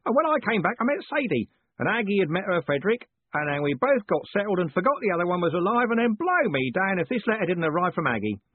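A man voicing a loud, blunt old male merchant who bellows and calls people "lad". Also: sing-song, fast talker, slightly rough, no room sound, full character voice. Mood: hearty. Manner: booming, hearty, uses "lad" and "young man". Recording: dry, tinny phone-like audio.

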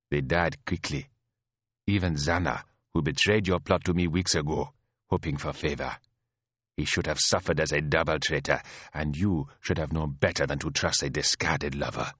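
A man voicing a calm, imperious elder queen in an audiobook story, patient and chiding with quiet menace. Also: a bass voice, husky, dry recording, highs cut off, pitched below the performer's own voice, exaggerated voice. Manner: patient, chiding, like a teacher with a naughty pupil.

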